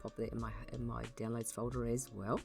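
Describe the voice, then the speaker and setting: A woman with a croaky voice from being unwell, hosting a casual software tutorial and explaining her steps in a chatty way with many ums. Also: a bass voice, level tone, fairly quick, over music. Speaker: YouTube channel host.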